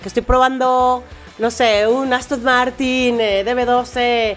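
A woman from Medellín speaks with a Colombian accent and a slightly high voice. She explains things in a lively, casual way, with frequent fillers. Faint music plays in the background. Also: takes it slowly. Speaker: car tester, guest. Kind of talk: casual interview chat.

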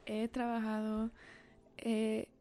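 A woman's voice: a little high, monotone, slow pace, mellow. A young woman from California speaking Spanglish-tinged Spanish with an American English influence, giving earnest, reflective answers as a singer-songwriter in an interview. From California, United States.

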